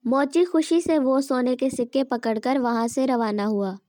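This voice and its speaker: A woman's voice: high-pitched, steady tone, normal speed, dark tone, no echo, clean studio sound. A woman reading scripted narration for a children's story.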